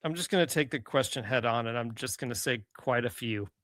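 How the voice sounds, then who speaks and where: A man's voice: medium pitch, brisk, a bit bright, no room sound, clean studio sound. A man co-hosting a podcast in casual comedic banter, mock-earnest and often repeating his words as he goes. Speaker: podcast co-host.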